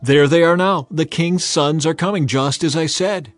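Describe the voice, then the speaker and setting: A man's voice: fairly high pitch, moderate pace, faint music behind, pitched above the performer's own voice, lightly acted. A man voicing a confident royal advisor, emphatic and assured, in a dramatized scripture reading. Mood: emphatic reassurance. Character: confident male royal nephew and advisor, reassuring.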